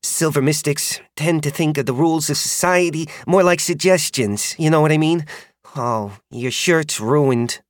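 A man putting on a flustered young woman who rides dragons, high and breathless, apologetic and quick to reassure, her voice thinned and shifted away from his own timbre. The recording is dry and close. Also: dry recording, natural voice. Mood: kind. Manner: anxious, apologetic, quickly talking herself calm. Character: young female dragon rider, flustered but kind. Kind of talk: audiobook character voice.

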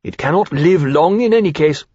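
A man's voice: a little high, lively intonation, normal speed, mellow, breathy edge, no room sound, muffled recording, pitched above the performer's own voice. A man playing a haughty, scornful aviator officer with a cutting, impatient manner in a period fantasy audiobook. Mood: scornful, indignant.

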